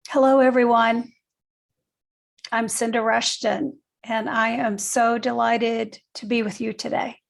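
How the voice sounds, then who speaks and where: An older woman leading a guided meditation, speaking gently and leaving long pauses between short phrases. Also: a little high, level tone, creaky. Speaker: guided meditation teacher, nurse and educator.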